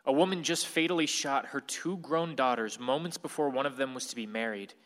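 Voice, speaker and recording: A man's voice: mid-range voice, brisk, fairly bright tone, lightly acted. An actor in a radio play playing a blunt male news reporter delivering grim bulletins. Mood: grave. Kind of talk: radio play narration as newscast. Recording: long hall reverb.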